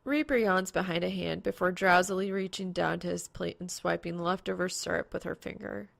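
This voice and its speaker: A woman's voice: lowish voice, fairly quick, vocal fry, fairly bright tone, no echo. A woman reading fan fiction aloud as a scripted story narrator. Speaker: podfic narrator.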